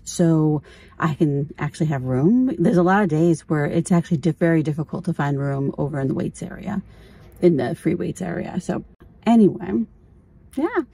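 A woman's voice: fairly low pitch, creaky, mellow, slightly rough. A middle-aged woman chatting casually as a vlogger, informal and candid, often thinking aloud. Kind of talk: casual chat.

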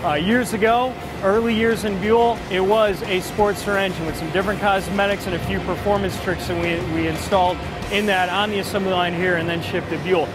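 A man's voice: high-pitched, fairly even intonation, fairly quick, low-quality recording. A man explaining manufacturing operations in a plain, matter-of-fact way, with the odd filler. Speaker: manufacturing manager. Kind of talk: explaining on camera. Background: music bed.

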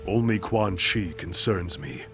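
An actor playing a grim, vengeful ninja clan master in a video game, terse and formal, with a gravelly voice. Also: male voice, deep voice, expressive, unhurried, exaggerated voice. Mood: grim, resolute. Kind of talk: dramatic video game cutscene acting. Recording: music under the voice. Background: loud cinematic music.

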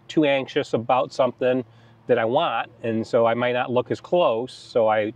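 A man's voice: medium pitch, fairly even intonation, normal speed. A middle-aged American man casually recounting a trip as a travel vlog host, speaking outdoors in the open air.

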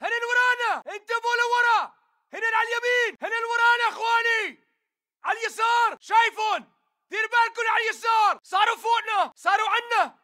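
An aggressive militant in a shooter game, yelling at a very high pitch. His Levantine Arabic comes in urgent, clipped battle barks with a hard, bright edge. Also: fast, exaggerated voice. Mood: urgent alarm. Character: fierce male militant fighter, aggressive and defiant. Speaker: enemy soldier voice in a shooter game. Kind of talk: combat battle chatter, shouted barks.

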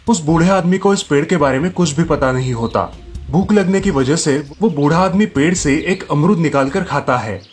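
A man reading scripted narration for a children's moral story. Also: on the high side.

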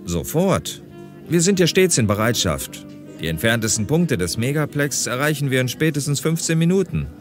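A man plays a friendly, casual police pilot in an audio drama. The voice is mid-pitched and very varied in melody, with faint music behind it. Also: big pitch swings, normal speed, natural voice. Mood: casual, dry. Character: easygoing male police pilot, friendly, dry colleague. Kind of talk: German audio drama acting.

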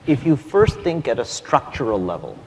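A man on a panel discussing software development, thoughtful and dotted with fillers and restarts. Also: mid-range voice, very animated, moderate pace, vocal fry. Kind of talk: conference panel answer. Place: large conference hall stage. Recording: long hall reverb, faint noise.

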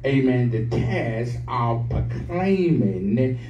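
A man's voice: lively intonation, extremely slow, lo-fi sound, crowd noise. A middle-aged man preaching a sermon at the pulpit, building up in call-and-response style as the congregation answers. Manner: call-and-response preaching, rising in pitch and fervor. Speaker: guest preacher. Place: large church sanctuary. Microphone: pulpit mic, filmed from the pews. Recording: echoey church, distant, congregation responding. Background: congregation calling back.

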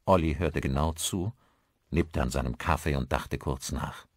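A man's voice: a bass voice, unhurried, no echo. A man reading scripted audiobook narration of a crime story, acting out the dialogue as he goes.

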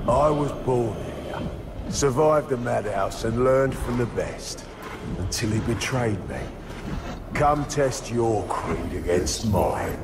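Creepy slow madman killer, taunting, swoops around, victorian game villain. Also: medium pitch, lively intonation, noisy background. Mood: menacing challenge. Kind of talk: villain acting in a video game. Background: music.

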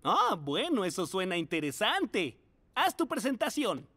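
An actor voicing a kindly mole schoolteacher in a children's cartoon, with an encouraging, expressive manner. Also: mid-range voice, a little slow, long reverb tail, heavy background noise. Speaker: teacher. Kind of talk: children's cartoon dub acting. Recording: music behind the voice.

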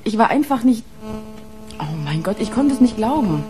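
A woman's voice: a little high, very animated, moderate pace, over music. An actress playing a guarded, grieving young woman in a naturalistic radio crime drama. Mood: distraught. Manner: guarded, hesitant. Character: guarded, grieving sister of a dead policeman. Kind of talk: naturalistic radio play acting.

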